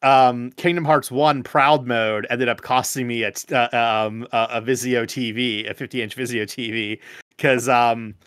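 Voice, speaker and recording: Young adult American male, casual storyteller, halting and sweary, dry close sound. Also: medium pitch, fairly even intonation, a bit bright, smooth, dry recording. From United States. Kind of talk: casual group chat, anecdotes.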